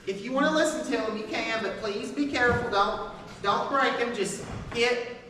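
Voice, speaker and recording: A woman giving an informal class talk, partly reading from notes, speaking haltingly and repeating her phrases. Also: a little high, a bit bright. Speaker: presenter teaching a class. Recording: echoey hall, distant mic, noisy background.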